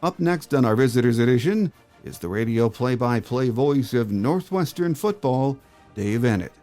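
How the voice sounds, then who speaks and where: A man's voice: mid-range voice, expressive, moderate pace, dark tone, faint music behind. An American man hosting a sports podcast, delivering prepared commentary and introductions. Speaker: podcast host. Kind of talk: scripted sports podcast monologue and interview.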